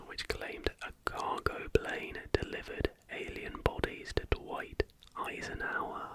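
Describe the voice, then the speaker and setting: A man narrating in an unvoiced, breathy whisper as the softly spoken host of an ASMR channel. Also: very high-pitched, rough recording.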